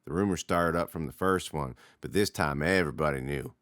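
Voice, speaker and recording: A man voicing a teasing teenage older brother who tells spooky tales in a slow, low drawl. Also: a bit bright, no room sound, clean studio sound. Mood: ominous.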